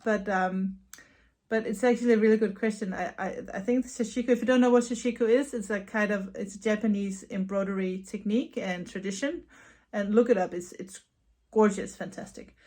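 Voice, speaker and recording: A Danish woman with a Danish accent, answering viewer questions in a casual knitting vlog at home, chatty with soft laughs and repeated words. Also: mid-range voice, a little fast. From Denmark. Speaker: knitting vlogger and yarn dyer. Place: her home.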